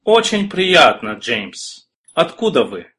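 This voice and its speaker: A man playing a friendly, curious man in a scripted dialogue for language learners, speaking clearly and carefully. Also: on the high side, big pitch swings, slow pace, pushed and bright, faint music behind, full character voice. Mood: polite, curious. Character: friendly curious adult man making small talk. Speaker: dialogue partner in a language lesson.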